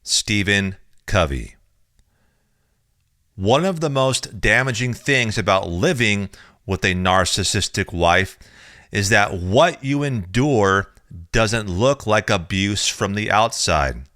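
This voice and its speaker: A man reading a chapter of his own book aloud in a slow, measured, deliberate way, recorded close on a studio mic. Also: fairly low pitch, lively intonation, bright, forceful tone, dry recording. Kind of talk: audiobook reading.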